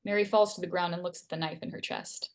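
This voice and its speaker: A woman's voice: lowish voice, fairly even intonation, fast talker. A woman reading scene headings and stage directions aloud as narrator at a screenplay table read.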